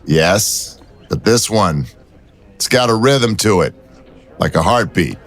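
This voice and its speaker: An actor playing a wry, persistent city detective who talks in dry, teasing banter. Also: male voice, lowish voice, expressive, slow pace, a bit bright, heavily acted.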